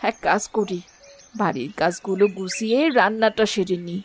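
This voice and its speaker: A woman's voice: mid-range voice, normal speed, husky, natural voice. A woman voicing a devoted village mother in a cartoon, wistfully talking to herself. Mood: hopeful.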